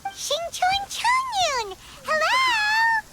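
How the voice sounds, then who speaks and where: A woman voicing a tiny, excitable, childlike fairy companion girl in a video game, curious and exclamatory. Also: very high-pitched, takes it slowly, very noisy, exaggerated voice. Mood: excited.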